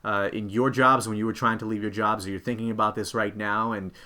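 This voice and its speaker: Male career-coach host, lowish pitch, explanatory delivery straight to viewers. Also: flat delivery, a little fast, pushed and bright. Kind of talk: instructional presenting to camera.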